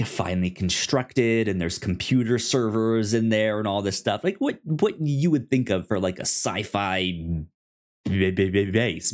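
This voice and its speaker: A man co-hosting a podcast, casually discussing film in a halting way, often repeating and stammering over his words. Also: lowish voice. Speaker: podcast co-host.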